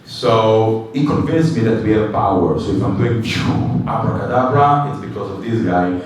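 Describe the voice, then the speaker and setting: A man teaches Torah to a live group, animated and quick to joke. His voice rings through a large, very echoey hall. It is picked up from some distance away, with faint room hum behind him. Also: on the low side. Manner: animated, jokes with audience, breaks into short chanted tunes. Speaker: rabbi giving a weekly class. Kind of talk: informal Torah class.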